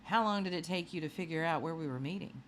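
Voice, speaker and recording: A woman voicing a calm, businesslike male FBI agent in a children's storybook, matter-of-fact and questioning. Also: deep voice, quick pace, slight background noise, natural voice.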